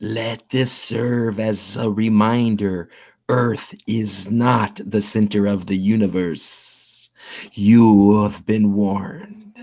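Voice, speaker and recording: Man acting a slow, menacing otherworldly heavy. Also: fairly low pitch, little pitch movement, gravelly, a little mellow, exaggerated voice. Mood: ominous warning. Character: ominous alien visitor and gruff bounty hunter. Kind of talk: audio drama acting.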